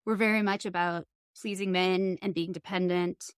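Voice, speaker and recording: A woman's voice: fairly low pitch, fairly even intonation, moderate pace, smooth, dry recording. Middle-aged woman, candid and reflective, dry close sound. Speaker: podcast host.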